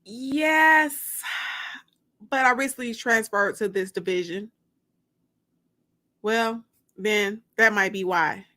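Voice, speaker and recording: Woman acting a coy, higher-pitched flirty woman. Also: takes it slowly, long pauses, pushed and bright. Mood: bright, coy. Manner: coy, teasing, plays dumb.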